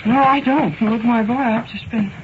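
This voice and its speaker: A woman's voice: on the high side, moderate pace, noisy background. An American woman in plain, polite, unscripted conversation, caught on a muffled 1950s radio field recording. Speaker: member of the public, a mother answering police. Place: at her home at night.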